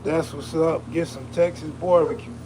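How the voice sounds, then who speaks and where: A man's voice: a little high, fairly even intonation, moderate pace, background noise. A man hosting a casual live stream, chatting in a laid-back, slangy, streetwise way with a soothing, soulful voice and reading comments aloud.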